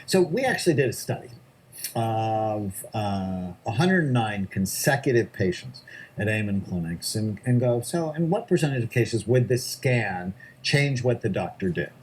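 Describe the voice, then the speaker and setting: A man's voice: on the low side, expressive, a little slow, a little hiss or hum. A middle-aged man, a psychiatrist, answering interview questions in an emphatic way, often stressing his points in short lists. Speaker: psychiatrist guest.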